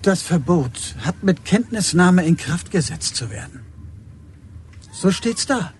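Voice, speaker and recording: An actor playing a dutiful, stubborn official in a radio drama, speaking stiffly in clipped, insistent phrases. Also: male voice, high-pitched, animated, takes it slowly, slight background noise, full character voice. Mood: officious. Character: dutiful, stubborn male official delivering orders.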